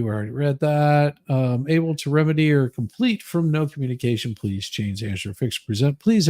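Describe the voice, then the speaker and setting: Joking, informal man with a mid-pitched voice. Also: a little mellow. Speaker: co-host. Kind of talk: casual humorous commentary and reading aloud.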